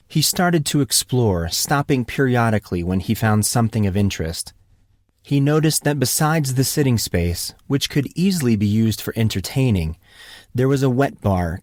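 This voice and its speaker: A man's voice: medium pitch, a little mellow, no room sound. Man narrating an audiobook, close dry sound. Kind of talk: scripted fantasy story narration.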